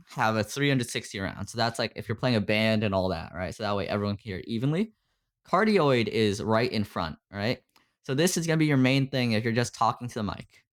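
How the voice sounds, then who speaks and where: Casual man explaining, tacking on "right?". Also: mid-range voice. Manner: loose, filler-heavy, keeps asking "right?". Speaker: streaming audio mentor. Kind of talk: casual screen-share tutorial on a call. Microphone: desktop USB condenser mic.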